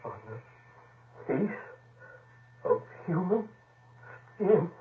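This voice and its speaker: An actor playing an alarmed newspaper office man in a halting, melodramatic 1940s radio style. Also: high-pitched, big pitch swings, full character voice. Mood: horrified dread. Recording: tinny, noisy 1940s radio recording.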